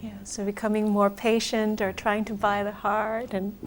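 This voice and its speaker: A woman's voice: medium pitch, monotone, moderate pace, slight background noise. A woman co-hosting an interview, summing up the guest's points. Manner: brief summarizing interjections. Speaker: co-host.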